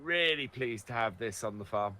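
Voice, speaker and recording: A man's voice: mid-range voice, expressive, moderate pace, a crowd in the background. A man co-hosting a gaming video, chatting casually in role-play with his partner. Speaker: co-host of a gaming video series.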